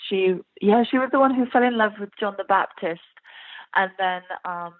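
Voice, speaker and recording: A woman's voice: medium pitch, moderate pace. A woman from Iran with an English accent, an actress chatting casually in an interview, with many fillers and frequent laughs. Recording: tinny phone-like line.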